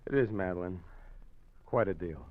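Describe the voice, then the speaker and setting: An actor playing a conflicted con man in a 1940s radio drama, speaking in a nervous, halting way. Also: low voice, animated. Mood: tense. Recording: dull old radio broadcast.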